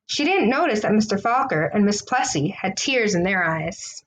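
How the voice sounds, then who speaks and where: A woman reading a children's storybook aloud, with expressive, deliberate phrasing. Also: mid-range voice, slight background noise, low-quality recording. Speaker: storybook reader.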